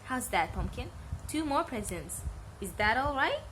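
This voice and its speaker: Casting: woman performing a bossy, doting aunt for a bedtime story; raised pitch, noisy home-taped sound. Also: very animated, a little slow, heavily acted. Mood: coaxing, placating. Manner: sharp, bossy, demanding. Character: snappish, domineering aunt who dotes on her son. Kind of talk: storybook character voice.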